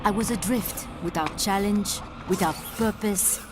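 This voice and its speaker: An actress playing a seductive, menacing mercenary killer in a video game, with a languid, taunting, theatrical delivery. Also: female voice, medium pitch, measured pace, noisy background, close to an everyday voice. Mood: proud, reflective. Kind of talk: video game cutscene acting. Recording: music behind the dialogue.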